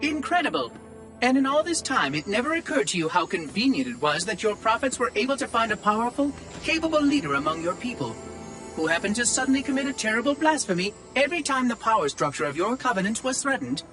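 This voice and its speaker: Sardonic machine caretaker, high and bouncy, fussy and wry, game cutscene with music under. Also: male voice, sing-song, fast talker, sharp tone, full character voice. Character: chatty, sardonic male AI monitor. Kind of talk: video game cutscene acting.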